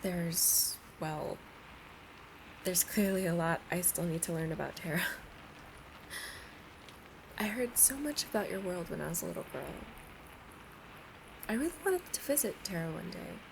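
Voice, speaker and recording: A woman's voice: fairly low pitch, measured pace, vocal fry, long pauses, noisy background. A woman playing a playful, pleading young alien princess at college in an ASMR roleplay, chatting and coaxing the listener directly. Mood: apologetic, earnest.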